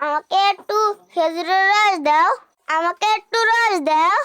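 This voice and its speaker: A woman plays a little cartoon pupil with a squeaky, extremely high voice. The manner is childish and simple, like a small village child. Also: a little slow, sharp tone, no echo, clean studio sound, full character voice. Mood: pleading. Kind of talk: amateur children's cartoon dub.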